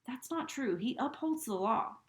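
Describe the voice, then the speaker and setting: A woman's voice: a little high, animated, normal speed, echoey room, pitched above the performer's own voice, close to an everyday voice. Woman voicing a nervous young girl. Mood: defensive.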